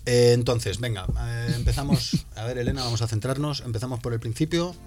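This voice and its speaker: A man's voice: mid-range voice, a little fast, loud music behind the voice. A man hosting a casual interview, teasing and blunt. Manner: teasing, blunt, swears freely. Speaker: podcast host.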